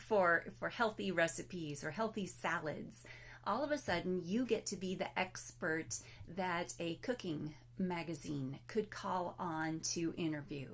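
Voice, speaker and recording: A woman author giving a casual recorded presentation while losing her voice, talking in a chatty, self-deprecating way, some words coming out breathy and whispered. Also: fairly low pitch.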